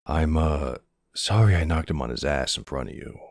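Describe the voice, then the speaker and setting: Man playing a very deep, gravelly older boyfriend. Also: animated, moderate pace, dry recording, clean studio sound, heavily acted. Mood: reassuring. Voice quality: husky, gravelly. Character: protective, gruff, tender older boyfriend. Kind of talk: ASMR boyfriend audio roleplay.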